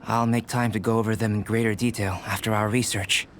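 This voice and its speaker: A man playing a calm, formal young alchemist. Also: fairly low pitch, a little hiss or hum, close to an everyday voice. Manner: measured, formal, scholarly. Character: calm young male alchemist, curious, composed. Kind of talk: game cutscene voice acting. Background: game music score.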